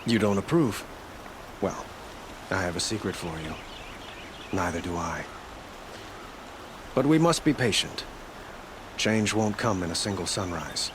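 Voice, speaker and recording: An actor playing a gentle, idealistic young king in a video game, speaking in a measured, courteous and formal way. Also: deep voice, expressive, background noise. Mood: gracious, wry.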